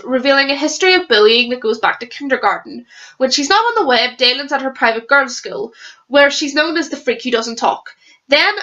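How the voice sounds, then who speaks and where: A woman's voice: sits high, pushed and bright. A young woman with a cold and a stuffy nose chatting casually in a rambling book review vlog, restarting her sentences as she goes. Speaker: vlogger.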